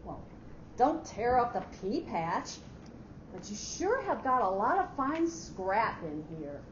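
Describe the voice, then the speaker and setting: A brash, boisterous office lady acted by a woman teases bluntly in slang, her high voice swinging up and down. It is a staged reading, heard in an echoey room. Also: very animated, takes it slowly. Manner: bouncy, blunt, slangy teasing. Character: loud, brash, boisterous female office adviser. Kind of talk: staged dramatic reading of a short story. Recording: muffled, echoey hall with faint noise.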